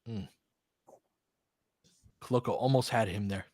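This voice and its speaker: A man's voice: on the low side, fairly even intonation, a little slow, a little mellow, no room sound, clean studio sound. A man doing casual live basketball commentary and chatting with viewers, informal and slangy with some swearing. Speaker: host of a fan watch-along.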